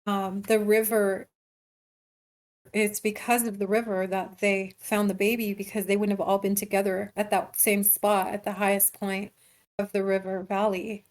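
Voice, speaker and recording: A woman screenwriter talking casually and haltingly about her writing in an online meeting. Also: medium pitch, level tone. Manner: hesitant, informal, halting explanations. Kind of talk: casual discussion in online table read.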